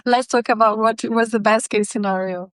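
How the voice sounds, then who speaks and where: A woman's voice: on the high side, steady tone, moderate pace, smooth, no room sound. A woman whose first language is Spanish, answering interview questions in an earnest, reflective way with some fillers. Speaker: podcast guest, business writer.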